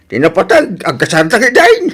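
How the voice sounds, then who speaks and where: A man's voice: sits high, wildly swooping pitch, normal speed, a bit bright, husky, heavily acted. Man acting as wildly swooping, jolly in-law. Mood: jovial. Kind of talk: radio-style family drama acting.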